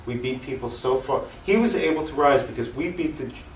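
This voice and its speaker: A man's voice: mid-range voice, fairly quick. An American man speaking earnestly and emphatically as an activist guest in an unscripted political interview. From United States. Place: echoey room, cable TV interview. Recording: echoey room, distant mic, faint noise.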